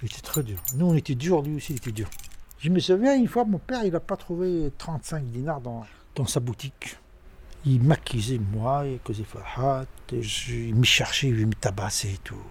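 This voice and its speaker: Husky-voiced older Tunisian man, animated. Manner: animated, halting, with sudden pitch jumps. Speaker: interviewee. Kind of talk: personal storytelling.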